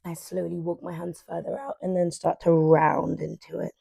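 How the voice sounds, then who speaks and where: A woman in her twenties guiding a morning stretching class, cueing softly and unhurriedly as she moves. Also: on the low side, level tone, moderate pace, gravelly, slightly rough, no echo, studio quality. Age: 20s. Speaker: yoga instructor. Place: sunlit living room with large windows.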